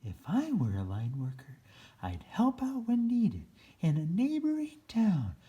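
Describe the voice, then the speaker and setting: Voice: medium pitch, huge pitch swings, a little slow, dark tone. Çok değişken tonlamalı, ağır tempolu çocuk kitabı okuyucusu. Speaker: children's story reader. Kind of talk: children's picture book read-aloud.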